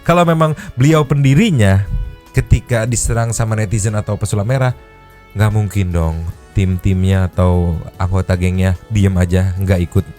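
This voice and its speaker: A man hosting a casual podcast, reacting to clips with animated, teasing commentary. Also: lowish voice, normal speed, faint music behind. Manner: animated, joking, teasing asides. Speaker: podcast host.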